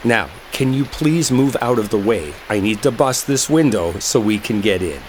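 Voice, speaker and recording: A man's voice: fairly low pitch, animated, moderate pace, slight background noise, lightly acted. A man playing an exasperated, sarcastic, stubborn guy in a dramatic roleplay audio, talking impatiently with rain behind him. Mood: insistent, impatient. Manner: impatient, sardonic, conversational, with mild swearing. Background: rain storm ambience.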